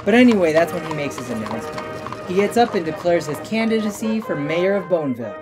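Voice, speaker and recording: A woman's voice: lowish voice, very animated, fast. A woman voicing an earnest, good-natured little male creature in a comic dub, talking like a chatty storyteller. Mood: storytelling. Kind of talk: fan comic dub voice acting. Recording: music behind the voice.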